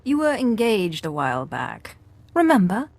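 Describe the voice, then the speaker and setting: An actress voicing a bold, meddling female dark-side sorceress in a video game, direct and assured. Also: medium pitch, sing-song, measured pace, creaky, no room sound, full character voice. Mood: insistent. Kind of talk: dramatic video game cutscene acting.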